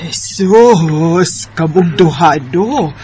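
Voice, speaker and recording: A man's voice: fairly high pitch, lively intonation, very slow. A man voicing a middle-aged mother who coaxes and fondly nags in a Bisaya radio drama. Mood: coaxing. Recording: faint background noise.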